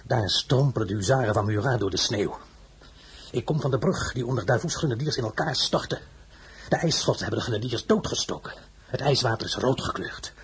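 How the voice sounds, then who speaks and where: An actor playing a bitter, war-weary emperor in a radio drama, commanding, sarcastic and formal. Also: male voice, medium pitch, brisk, husky. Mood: grim, bitter. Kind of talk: Dutch-language radio drama acting.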